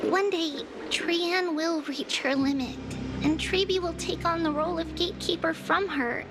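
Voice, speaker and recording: Very high, childlike girl oracle. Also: steady tone, unhurried, over music. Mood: wistful, earnest. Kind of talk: anime video game voice acting.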